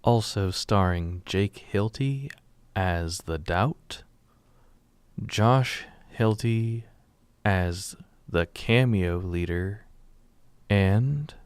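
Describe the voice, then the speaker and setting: A man giving a measured, solemn scripted narration for a radio drama in a gravelly voice. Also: deep voice, darkish tone, no room sound. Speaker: writer and narrator.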